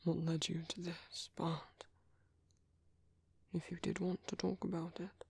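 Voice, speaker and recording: Breathy, gentle British vampire priest, acted by a man. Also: a little high, dry recording, heavily acted. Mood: gentle, apologetic. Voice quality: breathy, gravelly, half-whispered. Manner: hesitant, polite, reassuring. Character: gentle, caring male vampire priest sheltering a stranger. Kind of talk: soft-spoken ASMR roleplay.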